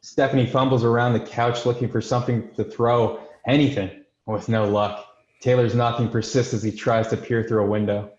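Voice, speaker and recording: A man's voice: medium pitch, flat delivery, normal speed, a little mellow. Man narrating in a roomy, dull recording. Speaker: host and stage-direction narrator. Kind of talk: scripted introduction and screenplay narration. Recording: roomy, dull-sounding microphone.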